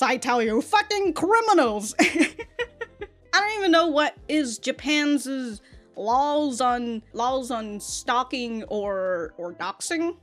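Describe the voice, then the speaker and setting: A woman with an American accent talks in a somewhat high voice over music. She hosts casual reaction commentary without a script. Her delivery is animated and rambling, full of slang and swearing. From United States. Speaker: reaction video host.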